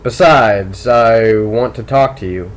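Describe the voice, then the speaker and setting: A man voicing a brilliant, manipulative teenage tennis star in an audiobook, speaking in a deliberate, probing and coolly insistent way. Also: lowish voice. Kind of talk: audiobook character dialogue.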